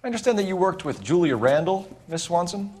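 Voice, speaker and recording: Firm American police detective, animated pitch. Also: male voice, brisk, breathy edge, heavily acted. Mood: businesslike. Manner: clipped, formal, questioning. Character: firm, probing male 1940s Los Angeles police detective. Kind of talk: video game cutscene acting. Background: music.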